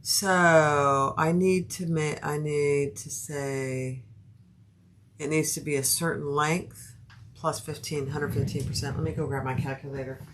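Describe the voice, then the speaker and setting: A woman's voice: deep voice, steady tone, a little slow. A woman with a soothing voice teaching a sewing tutorial on a live stream, thinking aloud as she works and chatting with viewers. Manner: talks to live viewers by name. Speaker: sewing instructor. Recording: audible background noise.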